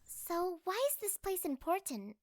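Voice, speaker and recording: A woman's voice: very high voice, sing-song, measured pace, dry recording, clean studio sound, exaggerated voice. A woman voicing a perky, loyal young girl adventurer in a dramatised fantasy audiobook. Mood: curious. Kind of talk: dramatised audiobook character acting.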